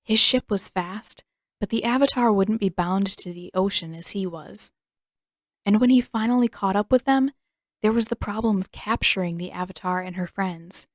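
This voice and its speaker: A woman narrates a story in a scripted audiobook style. Her pitch is average for a woman, and the recording is dry and close. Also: dry recording.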